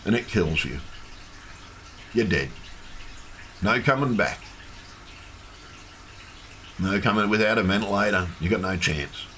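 A man, hoarse and losing his voice, ranting in an agitated, repetitive livestream monologue with some swearing. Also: fairly low pitch, expressive, unhurried, long pauses, pushed and bright. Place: home room with fish tank. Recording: faint background hum from fish tank.

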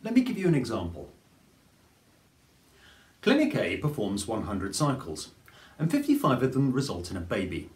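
A man, presenting a scripted explainer to camera in a clear, measured way. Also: mid-range voice, wildly swooping pitch, darkish tone. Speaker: fertility advice presenter. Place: plain studio with grey backdrop. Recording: roomy studio echo.

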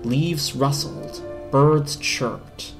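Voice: medium pitch, very slow, music in the background. A narrator giving a dramatic reading of a storybook. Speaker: narrator, reader.

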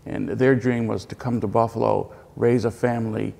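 A man's voice: fairly low pitch, little pitch movement, moderate pace, vocal fry, dark tone. An older man giving emphatic, earnest interview answers about his museum's legacy. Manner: earnest, emphatic, builds to punchy lines. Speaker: museum representative. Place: indoor hall with displays. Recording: hall reverb.

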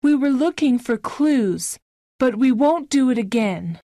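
A woman playing an earnest young female amateur detective in a storybook, speaking clearly. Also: sits high, expressive, measured pace, dark tone, no room sound, clean studio sound. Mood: serious. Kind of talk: scripted audiobook dialogue.

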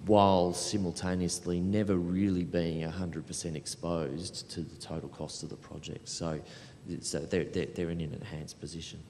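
Man, middle-aged, very low monotone, panel talk, measured explanation. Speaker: panelist, programme administrator. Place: large hall panel event with audience. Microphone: handheld microphone through hall. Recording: echoey hall, low rumbling noise.

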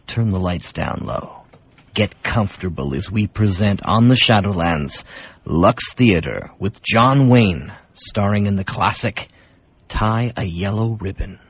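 A male radio host reads a scripted introduction with theatrical, deliberate phrasing. His voice is low and husky, and the sound is a little dull. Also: expressive.

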